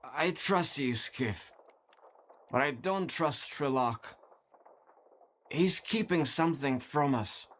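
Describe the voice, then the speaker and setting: A weary, remorseful wanderer in a video game drama. He talks slowly in a mid-pitched voice, earnest and wistful, like someone devoted to a cause he must atone for. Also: lively intonation. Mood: guarded, wistful. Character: weary, remorseful man devoted to a mysterious zone.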